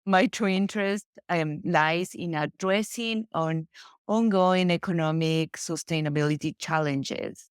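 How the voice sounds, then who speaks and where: Lowish, smooth-voiced woman from Ecuador. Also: unhurried, dry recording, studio quality. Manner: careful, hesitant, with many fillers. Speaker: coffee sourcing consultant, guest. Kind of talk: interview answers.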